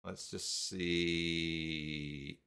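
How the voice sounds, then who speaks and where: A man with a low voice hosts an informal live tutorial. He talks casually to his viewers and works things out aloud, in a halting, unscripted way. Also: steady tone, bright, forceful tone, clear, resonant tone. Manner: halting, thinking aloud while clicking around. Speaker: live stream host. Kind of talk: casual live tutorial talk, reading chat.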